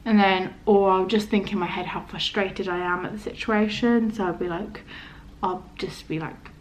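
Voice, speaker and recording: A young woman with a stammer, chatting casually to camera in a halting, hesitant way, often trailing off. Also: mid-range voice, steady tone, a little hiss or hum, rough recording. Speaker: vlogger.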